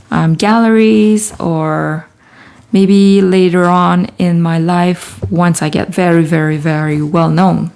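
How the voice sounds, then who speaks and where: Relaxed woman, slow gravelly voice. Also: fairly low pitch. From Quebec, Canada. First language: French. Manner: chatty, thinks aloud, reads viewer comments. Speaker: artist livestreaming her process. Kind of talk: casual livestream chat while working.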